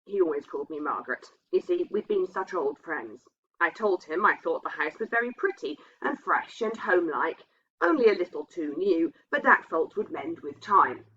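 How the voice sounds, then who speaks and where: A sensible housekeeper tells her tale wistfully, voiced by a woman reader. She is reflective and confiding, with a fairly low, husky voice. The storybook recording is a bit dull. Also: animated, moderate pace, a bit bright. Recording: dull, muffled lo-fi sound.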